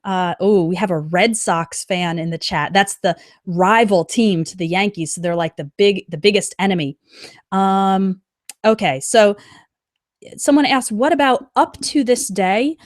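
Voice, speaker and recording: A woman teaches a live English lesson, slowing down to model example sentences clearly. Her voice sits in an ordinary middle pitch. It is recorded close on the mic, with a dry sound and no room echo.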